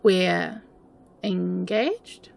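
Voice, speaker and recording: A woman playing a flustered, smitten, playfully scheming best friend in an intimate romantic audio roleplay, speaking in halting, stammering bursts. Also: lowish voice, vocal fry, darkish tone, soft background music, heavily acted. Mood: giddy, tender.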